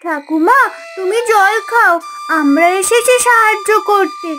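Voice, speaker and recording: A sweet little cartoon child, extremely high-pitched and eager. The delivery is sing-song, with clear music behind it. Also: female voice, lively intonation, unhurried, fairly bright tone, exaggerated voice. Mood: caring, pleading. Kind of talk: cartoon voice acting.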